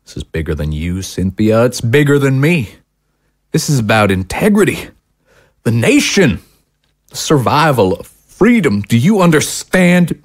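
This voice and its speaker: A man's voice: mid-range voice, big pitch swings, unhurried. A man playing a self-righteous, paranoid talk-show host husband in an audio drama, ranting and condescending. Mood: grandiose, paranoid. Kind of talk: dramatic audio screenplay acting.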